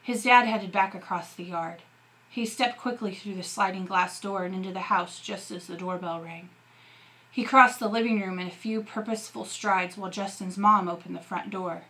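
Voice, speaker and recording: A woman narrates a novel as a teacher reading aloud. Her voice sits at a medium pitch with a bright, forceful tone, and her storytelling is expressive. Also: normal speed, poor audio.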